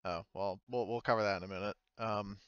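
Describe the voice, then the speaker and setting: A man running a tabletop game, improvising narration in a casual, halting, meandering way. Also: fairly low pitch, steady tone, a bit bright, clear, resonant tone, no echo, muffled recording. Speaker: game master.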